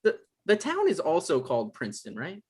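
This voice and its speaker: A man acting an evasive, awkward novelist in a comedy-drama table read, halting and defensive, with stammers. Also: a little high, wildly swooping pitch, breathy edge, exaggerated voice. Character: Michael, evasive, awkward novelist visiting his ex.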